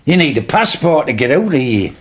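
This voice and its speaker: A man's voice: a little high, big pitch swings, fairly quick, breathy edge. An actor playing a blunt, joshing old man. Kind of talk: radio drama acting.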